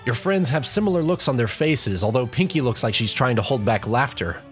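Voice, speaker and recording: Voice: medium pitch, expressive, a little fast. A narrator reading a scripted comic story in the second person, addressing the listener as the main character. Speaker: fanfic narrator. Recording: music behind the voice.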